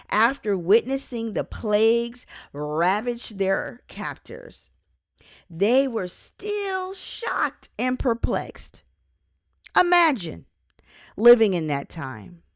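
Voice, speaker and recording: Slow, deliberate woman reading inspirational teaching. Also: on the high side, big pitch swings, no echo, studio quality. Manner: deliberate, emphatic phrasing with pauses. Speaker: author and host reading own book. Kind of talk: scripted inspirational audiobook-style reading with Bible teaching.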